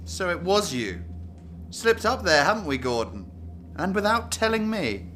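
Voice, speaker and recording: An actor playing an indignant security service officer in a radio drama, sarcastic, accusatory and given to swearing. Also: male voice, high voice, sing-song, measured pace, a bit bright, a little hiss or hum, heavily acted. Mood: scornful, accusing.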